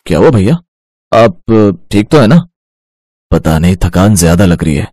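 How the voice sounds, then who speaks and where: A man voicing a respectful, earnest and excitable young village farmer in a storybook tale. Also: deep voice, moderate pace, a little hiss or hum, voice pushed down. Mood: worried.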